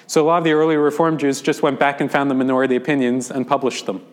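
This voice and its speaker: A man's voice: mid-range voice, little pitch movement, fast talker. An American man, a rabbi, giving an informal lecture to a class and explaining things conversationally with frequent ums and restarts. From United States.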